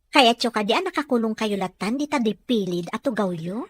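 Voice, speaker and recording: Patient, deferential female caregiver for audio drama played by a woman, high pitched, coaxing, respectful. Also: lively intonation, a little fast, slightly rough, no echo. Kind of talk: Ilocano radio drama acting.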